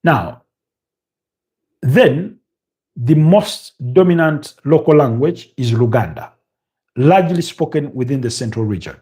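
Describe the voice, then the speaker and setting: A man with a Ugandan accent hosts a casual live football update and chats with viewers, talking off the cuff, with frequent fillers and pauses to recall names. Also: on the high side, animated, warm tone. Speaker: host of a football news livestream. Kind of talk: casual live commentary reading viewer comments.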